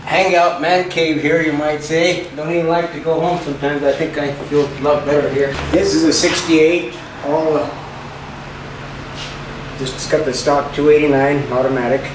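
A man's voice: on the high side, fairly even intonation, normal speed, distant mic, noisy background. An older man, a classic car owner, talking in a laid-back, folksy way about his cars in a garage. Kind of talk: casual chat. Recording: roomy garage sound from off mic.

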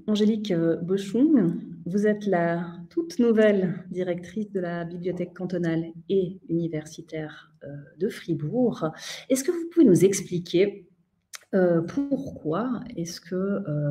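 A woman's voice: lowish voice, unhurried, mellow. A woman in her thirties with a Swiss French accent, moderating a live online panel over a video call, with many fillers and restarts.

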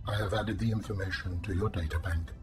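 An actor playing a detached, literal-minded ancient alien intelligence in a video game, speaking in measured, stilted, formal phrases. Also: male voice, sits low, fairly even intonation, music in the background, lightly acted. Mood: matter-of-fact. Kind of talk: scripted video game dialogue.

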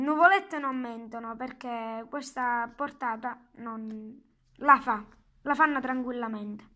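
High-pitched, slow-paced young-sounding reviewer. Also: pushed and bright. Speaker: product reviewer. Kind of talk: casual hands-on product demonstration. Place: indoors at a table at home. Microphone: handheld phone.

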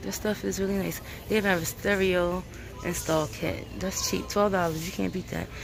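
A woman's voice: lowish voice, steady tone, normal speed, gravelly, over music. Chatty Brooklyn woman filming a store aisle walk. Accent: Brooklyn New York. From Brooklyn, New York. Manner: chatty, repeats herself, addresses viewers as guys. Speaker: vlogger. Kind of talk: casual walkthrough shopping vlog. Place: large supermarket aisles, indoors.

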